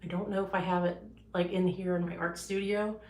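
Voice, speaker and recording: A woman's voice: lowish voice, level tone, a little fast, reverberant. A woman artist casually showing her paintings on a livestream, rambling with many fillers and restarts, in her home art studio.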